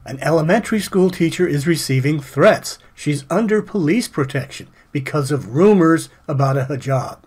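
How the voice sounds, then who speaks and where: A man's voice: a little high, lively intonation, moderate pace, few pauses, darkish tone, breathy edge. A man reading scripted, polemical voice-over narration.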